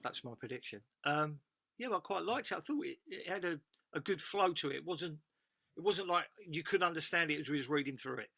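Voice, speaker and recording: A man's voice: fairly high pitch, lively intonation, a little fast, gravelly, sharp tone, no echo. A man giving casual feedback as an actor on a reading panel.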